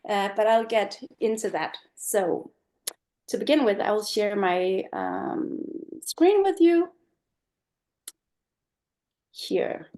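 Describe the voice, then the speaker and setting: Danish woman, middle-aged, slow and thoughtful speaker, video-call mic. Online talk. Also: mid-range voice, sing-song, mellow. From Denmark. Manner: slow, thoughtful, many fillers. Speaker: guest speaker.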